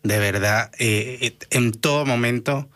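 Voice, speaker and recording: A man's voice: medium pitch, a little slow, a bit bright. A man in his thirties from Venezuela with a Venezuelan Spanish accent, a doctor answering interview questions earnestly, with many fillers and restarts. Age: 30s. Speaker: guest, doctor and activist.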